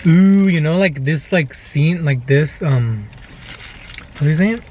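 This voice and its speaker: A young adult man with a high voice talks in a loose, chatty vlog style. He tells stories casually as a co-host, speaking to a camera microphone inside a car. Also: expressive, a little slow, darkish tone, a little hiss or hum. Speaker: vlogger, channel co-host.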